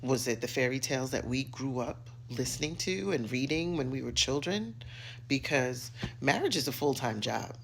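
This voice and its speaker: A middle-aged American woman giving an opinionated rant to camera, emphatic, sassy and theatrical, with dramatic pauses and drawn-out words. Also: extremely low pitch, lively intonation, vocal fry, a little hiss or hum, low-quality recording. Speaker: social media commentator.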